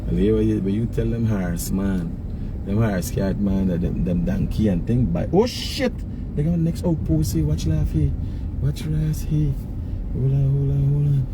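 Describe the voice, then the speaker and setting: A man's voice: mid-range voice, normal speed. Guyanese man streaming live from a car. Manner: colloquial, opinionated, repeats phrases for emphasis. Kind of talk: casual live commentary while driving. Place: in a moving car on the road. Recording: noisy in-car recording with road sounds.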